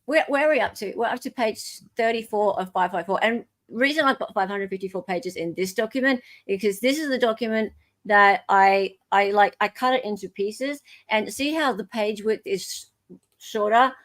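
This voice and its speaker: Female Australian host, mid-range voice, casual live chat, excitable, mutters while working. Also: mid-range voice, moderate pace. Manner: excitable, muttering to herself as she searches. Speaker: podcast host.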